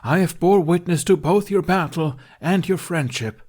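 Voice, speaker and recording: A man's voice: on the high side, moderate pace, a little mellow, voice pushed up, close to an everyday voice. A man voicing an exasperated young woman who declaims theatrically as King Arthur in a story reading. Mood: grand, strained. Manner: strained, declamatory stage delivery. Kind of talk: character voice in fan fiction reading.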